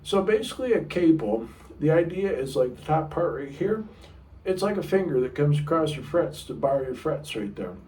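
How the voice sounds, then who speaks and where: Chatty baritone man teaching guitar. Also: very animated, normal speed, mellow, a little hiss or hum. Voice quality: low baritone voice. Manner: chatty, rambling, sings short demo notes. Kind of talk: casual video lesson. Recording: room mic a bit far off, guitar playing.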